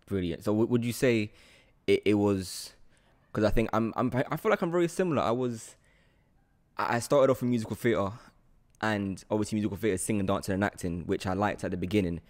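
A man in his twenties hosting a casual interview, talking into a close microphone on a boom arm. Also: fairly quick, darkish tone. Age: 20s. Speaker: podcast host, actor. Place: small indoor room with desk. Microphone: close studio mic on boom arm.

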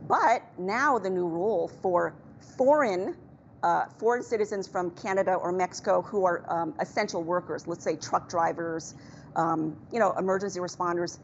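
A middle-aged woman giving measured, explanatory news commentary on a radio show over a muffled, noisy link. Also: lowish voice. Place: TV news studio, on video link. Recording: muffled, clearly noisy feed.

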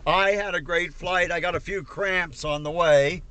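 A man's voice: high-pitched, steady tone, moderate pace, sharp tone, clear, resonant tone. A man in his seventies chatting casually and ramblingly, with salty language, on a call from inside a car. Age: 70s. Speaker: vlogger on a road trip with old Marine friends. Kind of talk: casual chat on a conference call. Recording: noisy camcorder audio inside a car.

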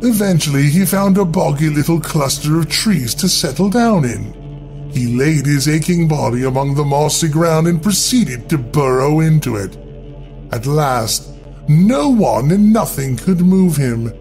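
Male horror storyteller: higher-pitched voice, deliberate theatrical delivery, very animated intonation, over music. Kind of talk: dramatic scripted horror narration. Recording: music bed under the voice.

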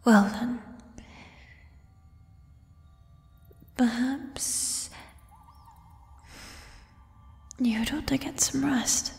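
A woman voicing a doting, possessive giant female troll in a soft, breathy whisper, with formal, coaxing phrasing, in an ASMR roleplay. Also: sits high, big-room sound, full character voice. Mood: coaxing, hushed. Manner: unhurried, formal, old-fashioned phrasing, coaxing. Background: fantasy ambience music.

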